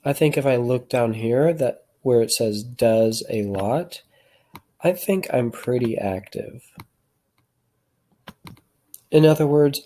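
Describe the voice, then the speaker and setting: Brief: calm teacher thinking aloud, slow and mellow, keyboard clicks behind. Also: medium pitch. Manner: calm, reflective, talks through choices aloud.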